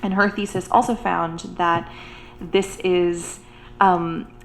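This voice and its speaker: A woman academic talks through a panel topic in an earnest, halting way, dotted with fillers and restarts. Her voice sits a little low. A faint noise runs underneath. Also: level tone, low-quality recording. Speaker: university researcher and supervisor. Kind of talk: roundtable panel discussion.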